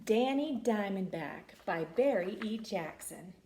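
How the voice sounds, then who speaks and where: A woman reading a children's picture book aloud with expressive, folksy inflection. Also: mid-range voice, slow pace, echoey room. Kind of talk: animated children's story reading.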